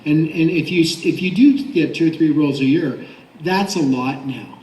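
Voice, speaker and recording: A middle-aged man with a slightly high voice talks on a convention panel, picked up at a distance in an echoey room. He rambles casually through anecdotes like an industry veteran. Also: normal speed, darkish tone. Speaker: voice director and actor, panelist. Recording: roomy convention hall, distant mic.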